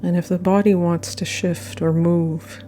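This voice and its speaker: A woman guiding a yoga nidra meditation with gentle, unhurried phrasing and frequent pauses. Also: fairly low pitch, level tone. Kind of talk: guided meditation narration. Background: soft meditation music.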